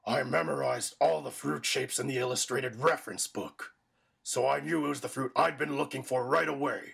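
A man playing a boastful, menacing pirate villain in an anime fan dub, with a gravelly, growling, theatrical delivery. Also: mid-range voice, fairly even intonation, moderate pace, fairly bright tone, heavily acted. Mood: recounting. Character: gleeful, boastful hulking pirate villain wielding darkness.

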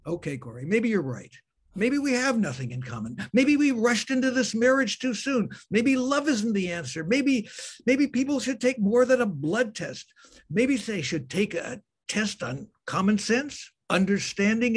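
A man's voice: high-pitched, sing-song, normal speed, slightly rough, heavily acted. A man acting an exasperated, weary newlywed lawyer husband in a comedy scene, stammering and repeating himself when flustered. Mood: exasperated, reasoning.

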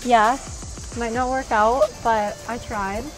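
High, slightly hoarse young woman vlogging. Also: steady tone, takes it slowly, rough recording. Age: young adult. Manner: giggly, filler-heavy, apologetic. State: slightly hoarse, losing her voice. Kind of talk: casual vlog talk to camera. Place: outdoor park playground. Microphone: handheld camera mic, sometimes far camera. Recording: outdoor camera audio under background music. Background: background music.

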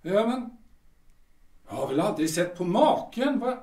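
An actor playing an irritable, domineering café owner in an audio drama, halting when cornered. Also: male voice, high voice, very animated, dark tone. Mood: grudging. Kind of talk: Swedish radio drama dialogue. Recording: echoey room, slightly far from mic.

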